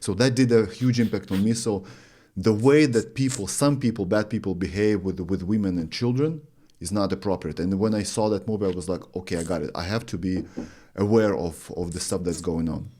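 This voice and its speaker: Host, thirties, from Lithuania, Russian native; slightly low-voiced, earnest, halting phrasing, studio boom mic. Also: male voice, mellow. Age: 30s. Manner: earnest, searching for words, many restarts. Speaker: podcast host. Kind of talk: interview. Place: studio with green curtain. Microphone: studio mic on boom arm.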